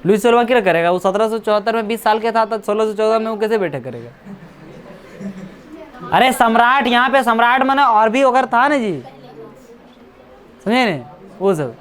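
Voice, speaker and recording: A woman's voice: on the high side, animated, normal speed, long pauses. Hindi woman teacher. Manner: keeps checking understanding, repeats dates, teasing asides. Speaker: coaching class teacher. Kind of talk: classroom lecture with questions to students. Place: classroom.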